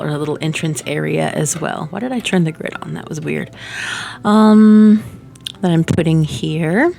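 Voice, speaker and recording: A woman's voice: on the low side, creaky. A woman with a slightly stuffy nose chatting casually while building in a life-sim game, rambling with many fillers and little laughs at herself. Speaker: Sims building channel host. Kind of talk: casual chatty gameplay commentary. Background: soft background music.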